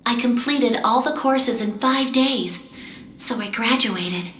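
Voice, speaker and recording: A woman voicing a determined, composed young female magician in a fantasy game, earnest and measured. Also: a little high, fairly bright tone, slight background noise. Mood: wistful, reflective. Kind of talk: English-dubbed fantasy game cutscene acting and narration. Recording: roomy echo, game music behind.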